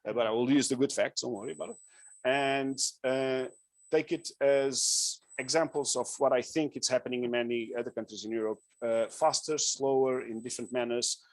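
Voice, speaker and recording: A man's voice: medium pitch, fairly even intonation, normal speed, no room sound. A Portuguese man with a Portuguese accent giving an informal conference presentation, joking at his own expense and using many fillers. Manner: informal, self-deprecating, with frequent fillers and restarts. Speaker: conference speaker. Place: conference room.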